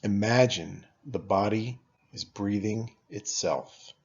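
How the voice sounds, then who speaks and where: A man leading a guided meditation as a teacher, speaking in a calm, unhurried, instructional way with long pauses. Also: on the low side, slightly rough, muffled recording.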